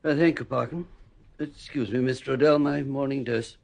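An actor playing a sinister, wealthy gentleman with a soft, snaky, silky voice and a polished, condescending manner, in old-style radio drama acting. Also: mid-range voice, steady tone, moderate pace, creaky, lightly acted. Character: smooth, sinister gentleman racehorse owner.

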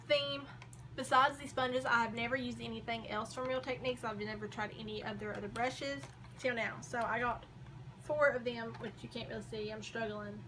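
Young woman, casual haul vlogger, chatty, hoarse voice, filmed in a bedroom corner. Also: fairly high pitch, background noise. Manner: chatty, rambling, frequent likes and ums. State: losing her voice. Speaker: beauty vlogger. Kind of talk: casual makeup haul chat. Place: small bedroom corner by a door.

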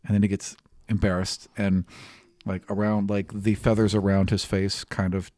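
A man in his thirties runs a tabletop fantasy game, improvising description as he goes. His voice sits fairly low and is recorded dry and close in a small studio. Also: flat delivery, normal speed, warm tone, dry recording. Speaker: game master. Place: small indoor podcast studio. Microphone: close stand microphone.

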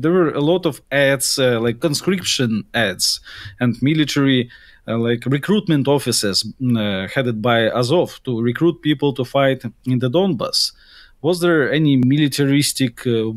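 A man hosting a podcast interview, putting his questions in a halting, conversational way. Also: medium pitch, dry recording, studio quality.

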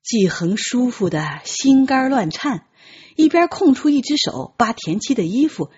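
A woman's voice: a little high, sing-song, unhurried, darkish tone, husky, muffled recording. A woman narrating an audiobook as dramatic, scripted storytelling.

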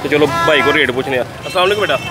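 A man's voice: fairly high pitch, racing. A man with a Lahori Punjabi accent hosting a chatty, enthusiastic street vlog in a busy outdoor bazaar, talking straight to his viewers. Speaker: vlogger touring a market. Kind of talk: lively on-the-street market vlog. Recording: busy market crowd behind.

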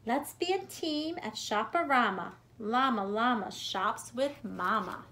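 A woman reads a children's picture book aloud in an expressive, rhythmic, deliberate way for young listeners. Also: on the high side. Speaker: preschool teacher reading aloud. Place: bedroom at home.

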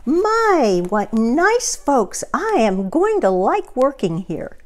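A woman's voice: high-pitched, big pitch swings, slow, darkish tone, pitched above the performer's own voice, full character voice. A woman voicing a literal-minded, eager, good-hearted housekeeper from a picture book, folksy and exclaiming. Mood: pleased, eager.

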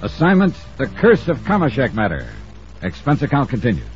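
An American actor playing a brisk, wry freelance insurance investigator in a clipped, businesslike 1950s radio style. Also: male voice, mid-range voice, huge pitch swings, over loud music. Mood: brisk, punchy. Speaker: star of a radio detective serial. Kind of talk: 1950s radio acting, first-person narration. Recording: dull old radio recording.

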